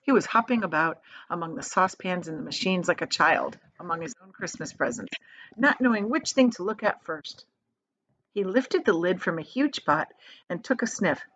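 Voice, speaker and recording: A woman reads a storybook aloud in the manner of a teacher. Her pitch is lively and varied, and she leans on descriptive words for drama. The recording is a little dull. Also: normal speed. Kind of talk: expressive storybook read-aloud with asides.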